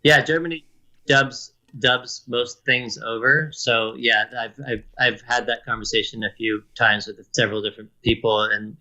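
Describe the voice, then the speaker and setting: A man's voice: mid-range voice, steady tone, moderate pace, sharp tone, dull audio. A man who is a musician and a guest, chatting casually and easygoing in an interview.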